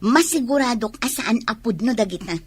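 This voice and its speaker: A woman's voice: a little high, lively intonation, fairly quick, husky, no echo. An actress with a Filipino accent playing a lovestruck, stubborn young woman in a radio drama. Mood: lovestruck, defensive. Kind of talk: Ilocano radio drama acting.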